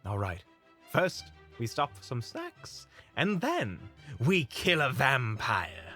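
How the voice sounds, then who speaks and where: A man playing a courteous, calm leader of monster hunters in an audio roleplay, speaking politely and precisely with a caring manner. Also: mid-range voice, takes it slowly, faint music behind, voice pushed up, exaggerated voice. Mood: calm resolve. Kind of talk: audio roleplay acting addressed to the listener.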